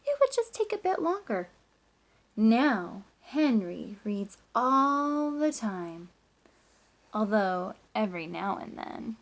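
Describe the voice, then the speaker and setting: A woman teacher reading a picture book aloud to children, with expressive emphasis, drawn-out words and hushed asides. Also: fairly high pitch.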